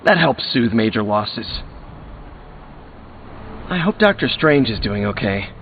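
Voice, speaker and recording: A man's voice: mid-range voice, very animated, takes it slowly, a little hiss or hum. A man voicing an earnest, chatty young superhero in a video game, talking in a casual rambling way. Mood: concerned. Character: youthful earnest male superhero, chatty and good-hearted. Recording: tinny band-limited game audio with music.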